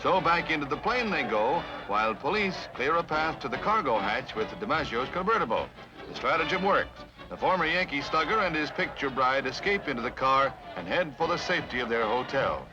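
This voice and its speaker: A man's voice: medium pitch, very animated, fairly quick. A man narrating a 1950s newsreel with a jaunty, punchy announcer delivery. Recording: dull vintage newsreel soundtrack. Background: background music.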